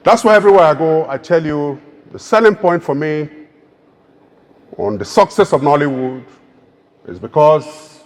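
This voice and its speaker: A Nigerian man with an Igbo accent giving an emphatic, rousing public speech as a keynote speaker. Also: fairly high pitch. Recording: long reverb of a large hall.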